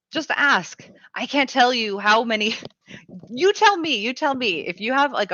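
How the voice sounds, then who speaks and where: A woman radio host who is sick with a cough, talking frankly and casually and swearing now and then. Her voice is bright and forceful but sits right on the mic, with a dry, dull, lo-fi sound. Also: mid-range voice, no echo. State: sick with a cough and chest tightness. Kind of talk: casual chat reading listener comments. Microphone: close studio mic.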